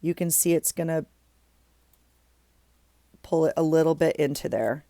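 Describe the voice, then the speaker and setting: A woman's voice: low voice, unhurried, dark tone, dry recording, clean studio sound. A middle-aged woman with a stuffy nose, chatting casually as she works on a craft project, thinking aloud with many ums and restarts. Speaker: craft vlogger.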